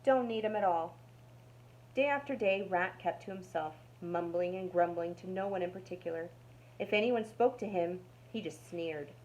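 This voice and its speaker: A woman's voice: on the low side, moderate pace, darkish tone, slight background noise, poor audio. A woman narrating a children's storybook aloud as a storytime reader.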